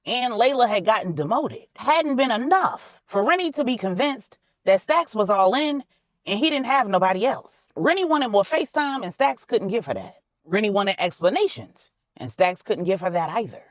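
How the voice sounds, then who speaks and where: Female narrator for colloquial fiction; animated delivery, emphatic and conversational, in a dry recording. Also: medium pitch, moderate pace, no room sound. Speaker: storyteller, author narrating own fiction. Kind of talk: expressive audiobook-style storytelling with colloquial phrasing.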